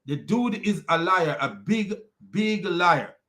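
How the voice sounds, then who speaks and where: High-voiced Jamaican man ranting. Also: very animated, takes it slowly, bright, forceful tone. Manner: mocking and scornful, with repeated insults; rambling, with many restarts. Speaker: religious commentary video host. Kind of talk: ranting online commentary.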